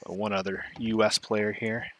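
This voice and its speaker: A man's voice: fairly low pitch, a little slow, heavy background noise. A man hosting a board game tournament stream, introducing players and chatting casually with his viewers. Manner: relaxed, chatty, loose asides to chat.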